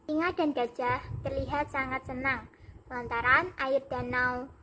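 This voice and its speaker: A woman's voice: high voice, steady tone, measured pace, pushed and bright, a little hiss or hum. A young woman narrating a scripted animal fable for a puppet show. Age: young adult.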